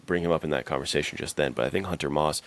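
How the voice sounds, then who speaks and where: A man's voice: extremely low pitch, racing, a little hiss or hum. A man co-hosting a podcast, talking casually and informally with plenty of slang. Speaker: podcast co-host.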